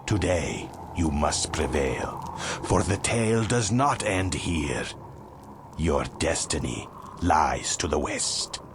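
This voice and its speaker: A solemn, commanding sorcerer with a low, slow voice, guiding a hero in a fantasy game. He is formal and portentous, with clear noise and ambience behind him. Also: animated, full character voice. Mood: grave, commanding. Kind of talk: fantasy game cutscene acting.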